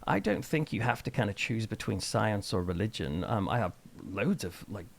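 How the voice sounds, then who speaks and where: A man's voice: lowish voice, expressive, normal speed, vocal fry, slight background noise. A middle-aged man speaking as an interview guest, explaining ideas in a thoughtful, discursive, conversational way. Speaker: interview guest, church minister and author.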